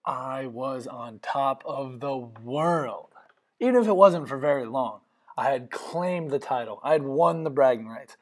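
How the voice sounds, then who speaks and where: A man's voice: mid-range voice, a little slow. A young man telling a personal story as a voice-over, in an earnest, reflective way. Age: young adult. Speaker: narrator of a family video.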